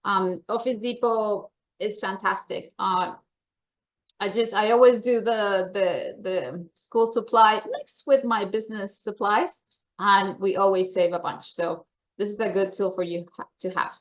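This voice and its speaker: The audio is slightly dull and lo-fi. A woman with a Colombian accent and a medium-pitched voice presents announcements to members. Her delivery is informal, chatty and upbeat. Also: steady tone, a little slow.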